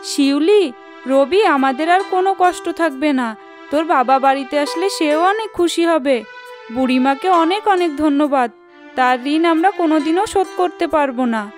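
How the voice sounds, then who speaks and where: A woman's voice: sits high, moderate pace, music in the background, voice pushed up, lightly acted. A woman voicing a grateful, caring village wife and mother in a cartoon. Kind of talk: cartoon character dialogue.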